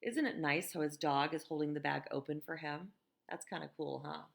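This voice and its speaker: An American woman reading a picture book aloud to children in a gentle, expressive teacher's manner, with conversational asides. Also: low-pitched, a little fast, vocal fry. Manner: gentle, expressive, asks listeners questions.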